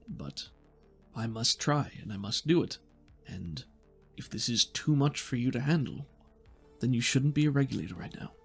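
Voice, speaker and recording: A stern male interrogator in a tabletop roleplaying game, acted by a game master, speaks with formal, deliberate wording. His voice is husky and gravelly, gravely reassuring and firm, with faint music behind it. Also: medium pitch, exaggerated voice.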